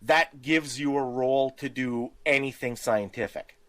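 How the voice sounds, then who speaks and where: A man's voice: medium pitch, unhurried, fairly bright tone. A man in casual podcast discussion about tabletop games, explaining things with examples and little acted-out asides. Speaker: podcast co-host.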